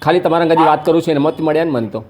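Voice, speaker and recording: A man's voice: on the high side, racing, darkish tone. A man with a Gujarati accent talking casually and colloquially, explaining his point insistently in an argumentative conversation. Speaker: activist and social media video maker.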